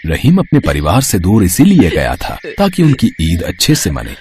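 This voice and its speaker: Guy doing a wise old fakir from a hindi moral story, deep voice, real expressive, calm fatherly advice. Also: exaggerated voice. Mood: solemn, gentle. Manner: slow, fatherly, solemn counsel. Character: wise old male fakir, calm mystic holy man.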